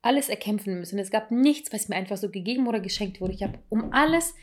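A woman around thirty chats candidly, spinning a personal story in a relaxed, self-mocking way. She stumbles and restarts now and then but keeps talking, frank and unguarded. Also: medium pitch, quick pace, a little hiss or hum. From abroad, came to Germany at ten. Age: 30s. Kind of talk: casual personal chat.

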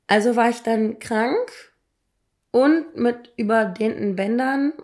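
A woman in her thirties, hoarse from illness, tells personal stories in a casual, emphatic, slangy way. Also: on the high side, a little mellow. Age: 30s. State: hoarse, ill. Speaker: podcast co-host.

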